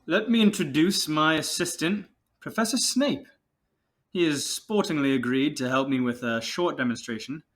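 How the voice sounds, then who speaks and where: Man as a high-voiced, pompous wizard showman. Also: moderate pace, fairly bright tone, lightly acted. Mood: boastful. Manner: pompous, theatrical, self-satisfied. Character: vain, showy male wizard teacher. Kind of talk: audio drama acting.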